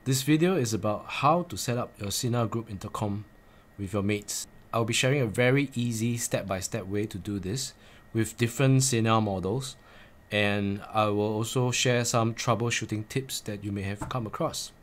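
A man's voice: lowish voice, moderate pace. A man in his forties presenting a step-by-step tutorial in a plain, instructional way, repeating key points for emphasis. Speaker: presenter of motorcycle gear tips.

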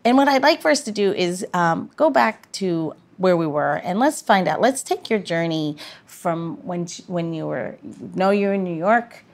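A woman's voice: lowish voice, expressive, normal speed. A woman hosting a podcast, speaking in a casual, conversational interview style. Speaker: podcast host.

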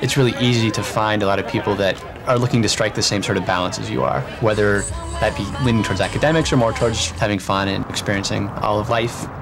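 A young man gives an interview testimonial at a quick clip, in a slightly low voice. Music is clearly heard behind him. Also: fairly even intonation. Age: young adult.

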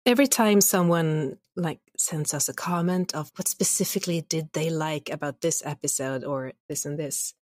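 A woman's voice: sits low, normal speed, hardly any pauses, a little mellow, no room sound. A woman speaking casually as an interview guest about creative work. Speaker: audio drama creator, guest.

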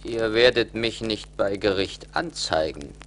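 An actor playing a cruel, hard-hearted rich husband in a 1980s radio play, harsh and imperious. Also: male voice, fairly low pitch, expressive, a little slow, fairly bright tone. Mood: cold, uneasy.

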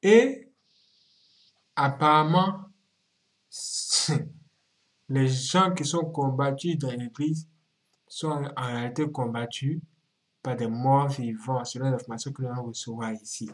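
A middle-aged man hosts a reaction video in a mid-pitched voice. He talks dramatically, breaking into exclamations and repeating his phrases for emphasis. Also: unhurried, long pauses, low-quality recording. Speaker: YouTube host. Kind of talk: animated reaction commentary.

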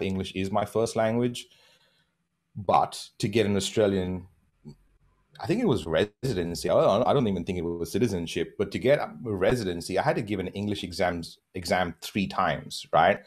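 A man from Bahrain in his thirties, a podcast guest talking earnestly from his home over a video call, laughing often and restarting his sentences. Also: deep voice. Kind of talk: reflective interview conversation.

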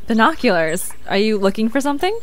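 A woman's voice: on the high side, very animated, quick pace. A woman playing an upbeat, wry young woman in an audio drama. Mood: wry, curious.

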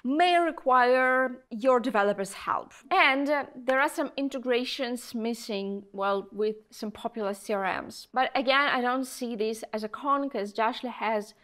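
A woman's voice: a little high, unhurried, hardly any pauses, a bit bright. A young woman giving a chatty, enthusiastic product review to camera, with emphatic delivery. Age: young adult. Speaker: presenter of software review videos. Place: small indoor studio room at a desk. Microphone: lapel mic.

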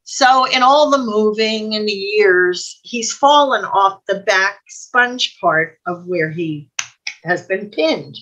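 A woman's voice: medium pitch, slow pace, fairly bright tone, poor audio. An older woman telling anecdotes casually on a video call, chatty and digressive. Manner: chatty, digressive, quotes people as she tells stories. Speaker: guest storyteller. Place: home room, indoors.

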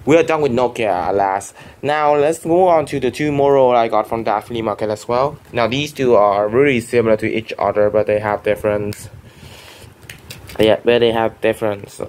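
A man's voice: mid-range voice, normal speed, a little mellow. A young man whose first language is Vietnamese, casually rambling through a show-and-tell with many restarts and fillers. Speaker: phone collector vlogger. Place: bedroom at home.

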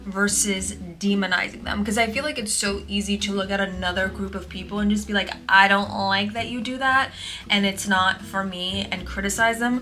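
A woman's voice: medium pitch, normal speed, faint music behind. A young woman from Tanzania, a native Swahili speaker, talking casually to camera about family life on a lapel mic in her living room. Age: young adult. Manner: expressive, talks with her hands. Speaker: vlogger. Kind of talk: casual sit-down vlog talk.

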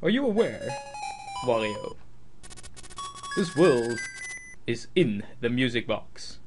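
A man's voice: mid-range voice, sing-song, slow. A man with a Dutch accent voicing a mysterious hidden male god from a video game by reading its dialogue aloud, with game music behind him. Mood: solemn. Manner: reading on-screen dialogue aloud in a slightly raised voice.

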